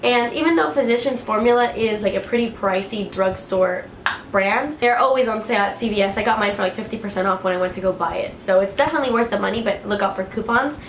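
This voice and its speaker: A woman's voice: medium pitch, fast, a little hiss or hum. A woman with a head cold and a clogged nose, chatting casually about products she likes, with lots of filler words. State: congested with a cold. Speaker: beauty vlogger. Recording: echoey room, faint ambience.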